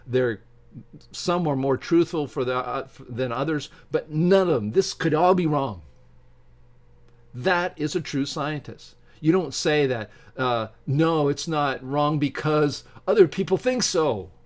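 A middle-aged man reads aloud haltingly from a book and breaks off to give his opinionated, informal commentary as a channel host. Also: a little high. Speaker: YouTube science channel host. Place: small home office with bookshelves, indoors.

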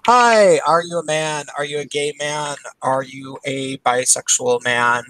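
A man's voice: on the high side, a little slow, pushed and bright, no room sound, poor audio. A man in his thirties hosting a podcast, chatting casually and laughing often over a call. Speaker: podcast host. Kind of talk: casual chat.

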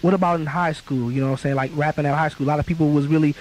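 A man's voice: a little high, steady tone, rapid-fire, a little mellow, low-quality recording. A young man interviewing casually on college radio, slangy and often checking in with the guest. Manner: slangy, repeats "you know what I'm saying". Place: small radio studio. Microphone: studio boom mic. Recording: hissy college radio recording.

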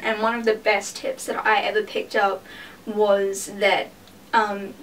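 A woman's voice: medium pitch, a bit bright, distant mic, slight background noise, low-quality recording. A woman in her twenties chatting casually in a vlog from her bedroom, with lots of "like"s and offhand swearing. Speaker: vlogger. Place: small bedroom indoors.

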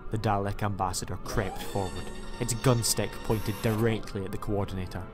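A man's voice: lowish voice, steady tone, moderate pace. A man reading scripted story narration as an audiobook narrator. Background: music.

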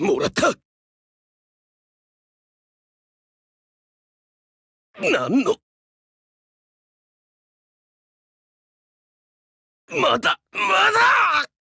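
A young dog-man samurai from a video game, fiercely loyal and earnest. His voice is high for a male and forceful, swinging widely in pitch. He speaks in formal, archaic retainer's language with dramatic fervor. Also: vocal fry, husky, dry recording, studio quality, exaggerated voice. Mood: fierce, battle fervor. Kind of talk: Japanese game voice acting, archaic samurai speech.

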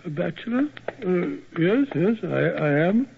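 An actor playing a good-natured, easily flustered English gentleman doctor in 1940s radio drama, stammering, with a British accent. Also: sits high, big pitch swings, a little slow, creaky, heavily acted. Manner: hesitant, stammering, repeats himself. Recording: muffled, noisy old radio recording.

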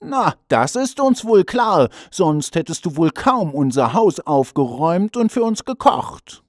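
A woman's voice: fairly low pitch, big pitch swings, measured pace, studio quality, pitched below the performer's own voice. A woman voicing a kindly, bossy male dwarf chief with a drawling, hesitant delivery in a children's fairy tale. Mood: friendly, explaining. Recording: long reverb, music behind.

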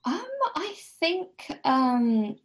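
A woman's voice: high voice, expressive, very slow. A woman guest on a podcast, casually discussing a book in a tentative, agreeable, chuckly way.

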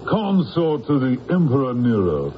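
An actor playing a big, brutal, arrogant Roman official in a radio drama, commanding and contemptuous. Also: male voice, medium pitch, huge pitch swings, moderate pace. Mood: haughty, commanding. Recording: dull, noisy vintage radio recording.

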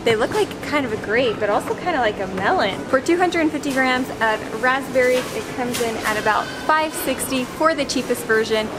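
A woman's voice: high-pitched, animated, normal speed, hardly any pauses. A young American woman filming herself in a large supermarket, chatting casually and comparing grocery prices. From United States. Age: young adult. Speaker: travel vlogger. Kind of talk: casual vlog commentary. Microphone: handheld camera held close. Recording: store hum behind voice.

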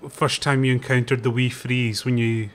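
A man hosting an interview, asking gentle, hesitant questions with filler words. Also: mid-range voice, little pitch movement, moderate pace. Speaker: show host.

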